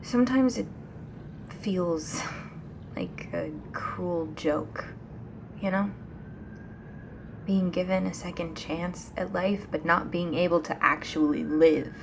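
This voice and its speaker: A woman playing a restless, anxious young woman in an ASMR audio drama, speaking softly and hesitantly, often near a whisper. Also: on the low side, slow pace, pauses often. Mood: downcast, frustrated. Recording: clear background noise, ambient sound.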